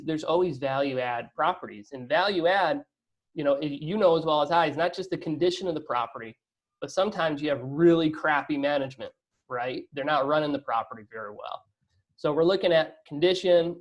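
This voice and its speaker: A man's voice: a little high, poor audio. A man answering as a guest in a business interview, talkative and explanatory, often checking that he is understood. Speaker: interview guest, real estate fund operator.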